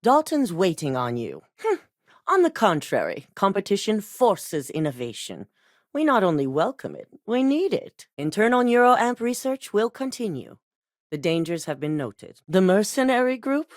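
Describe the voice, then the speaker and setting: A middle-aged actress playing a commanding corporate CEO in a video game, curt and businesslike. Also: female voice, mid-range voice, sing-song, moderate pace, no echo, lightly acted. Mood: controlled. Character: cool, commanding woman CEO of a megacorporation. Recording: dry studio recording.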